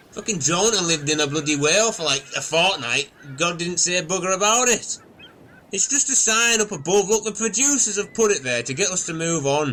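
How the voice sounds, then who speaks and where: Man voices a blunt castaway, swears a lot; bright, lively voice. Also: high-pitched, slight background noise, pitched above the performer's own voice, low-quality recording. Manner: sweary, blunt, scornful ranting. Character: foul-mouthed blunt male castaway.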